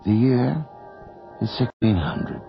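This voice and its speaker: An actor playing a sinister horror host on a radio series, gravelly, relishing the dread with slow, ominous dramatic pauses. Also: male voice, mid-range voice, sing-song, exaggerated voice. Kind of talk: theatrical 1980s radio horror narration. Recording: muffled old radio broadcast with music.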